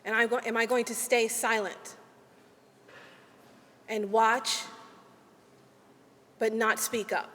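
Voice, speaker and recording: A woman attorney giving an earnest, deliberate ceremonial speech with measured pauses. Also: on the high side, little pitch movement, pushed and bright, in a large hall. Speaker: legal aid attorney. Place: large hall at a ceremony.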